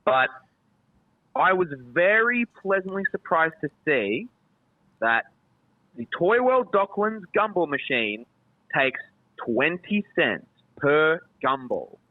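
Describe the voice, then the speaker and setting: A man's voice: fairly high pitch, sing-song, slow pace, pauses often, dry recording. A man, the show's producer, telling a story in casual chat with playful dramatic buildup. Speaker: show producer. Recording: dry, phone-like line.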